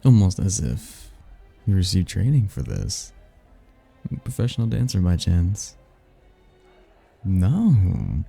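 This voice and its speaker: Casting: male actor as suave demon seducer for ASMR roleplay, very low gravelly voice, courtly and flirtatious, prideful. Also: big pitch swings, slow, long pauses, dark tone, exaggerated voice. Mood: charmed, curious. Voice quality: husky, gravelly. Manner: smooth-talking, courtly, flirtatious. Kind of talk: ASMR roleplay, one-sided romantic conversation. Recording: music behind the voice.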